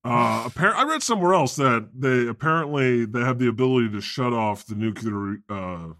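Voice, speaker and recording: A man's voice: medium pitch, fairly bright tone, no echo, clean studio sound. Man playing a brash, sweary God, closely miked. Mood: insistent, ominous. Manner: emphatic, sweary, insistent. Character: God, brash, foul-mouthed, know-it-all male deity hosting. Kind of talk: comedic podcast banter.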